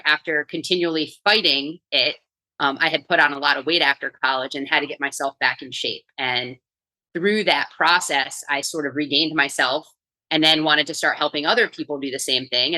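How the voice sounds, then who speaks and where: A middle-aged woman from New York, a coach and author, telling her story in a chatty, energetic way as a podcast guest. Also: low voice, rough recording. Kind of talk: casual podcast interview.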